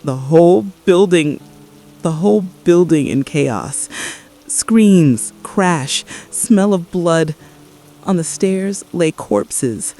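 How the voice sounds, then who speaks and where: An actress playing a guilt-ridden, traumatized mother in an apocalypse radio drama, confessing haltingly and repeating her phrases in distress. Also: lowish voice, animated, dark tone, soft background music. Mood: anguished, horrified.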